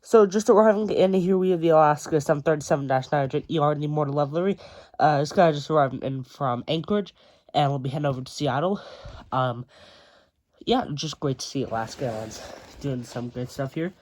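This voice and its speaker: A man's voice: a little high, a little fast, darkish tone. A young man with a congested, stuffy voice, narrating a casual video tour of his model airport, with frequent ums and uhs.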